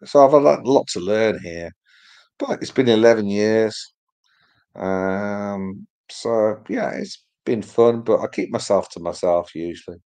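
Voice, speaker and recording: A man's voice: fairly low pitch, measured pace, a little mellow. A middle-aged man whose first language was Polish, chatting casually on a video call and rambling with lots of "you know". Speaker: livestream co-host. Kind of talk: casual chat.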